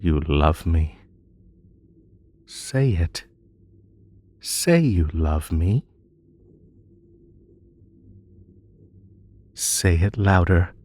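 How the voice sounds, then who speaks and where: Man acting a slow, possessive magical bunny. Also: sits low, expressive, a little mellow, exaggerated voice. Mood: coaxing, hypnotic. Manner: slow, coaxing, hypnotic, drawn-out soothing delivery. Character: possessive yandere Ether Bunny, male magical creature, sweetly menacing. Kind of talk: intimate scripted roleplay audio with hypnosis. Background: music.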